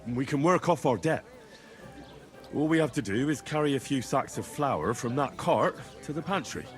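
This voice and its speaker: An actor playing a patient, practical young commoner in a video game, down-to-earth and a little wry. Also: male voice, medium pitch, animated, moderate pace, soft background music, natural voice. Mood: reasonable. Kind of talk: dramatic video game dialogue.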